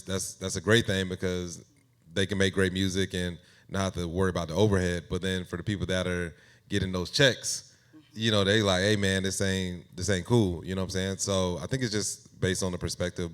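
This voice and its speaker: A man speaking casually as an interview guest, thinking aloud in a halting, conversational way. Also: deep voice, smooth. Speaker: guest AI music creator.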